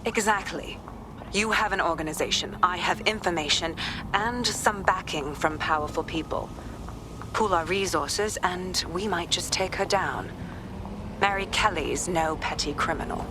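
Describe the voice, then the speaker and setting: A woman plays a British police inspector in a game. Her lowish voice is frank, measured and determined, with clear background noise. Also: lightly acted. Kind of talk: video game dialogue.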